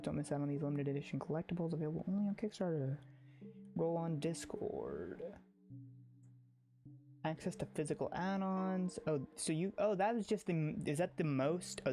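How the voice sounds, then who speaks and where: A game streamer chatting casually on a livestream, informal and excitable, rambling along into a close microphone. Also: mid-range voice. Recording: music under the voice. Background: music.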